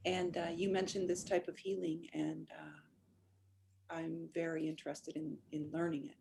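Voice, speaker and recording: Gravelly low-voiced woman, hesitant. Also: level tone, a little mellow, slight background noise. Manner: hesitant, halting. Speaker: webinar attendee. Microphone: video call.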